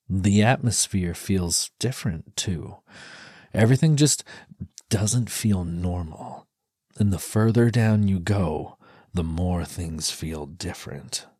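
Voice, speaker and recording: Male ASMR narrator, low and mellow, breathy husk, slips into whispers. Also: no echo, studio quality. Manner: hushed, slipping into whispers. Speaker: audio storyteller. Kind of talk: second-person erotic ASMR narration.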